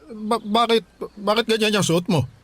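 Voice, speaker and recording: Higher-pitched, caring Filipino father. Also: fairly even intonation, moderate pace. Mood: puzzled, concerned. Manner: gentle, questioning, hesitant. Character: caring, bewildered middle-aged father of a young daughter. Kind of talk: Tagalog radio drama acting.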